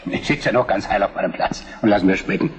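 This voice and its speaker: Calm, confident Prussian statesman for historical radio drama, male actor: lowish voice, dry and measured, heard in long reverb. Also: close to an everyday voice. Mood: dry, unruffled.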